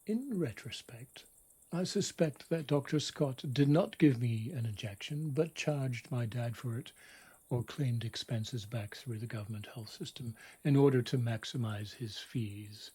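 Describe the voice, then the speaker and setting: Middle aged guy reading a bedtime story, soft dark husky voice drifting into whispers, kinda wry and theatrical. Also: medium pitch. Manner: wry, dramatic, deliberate delivery. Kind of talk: bedtime storytelling, scripted personal narrative.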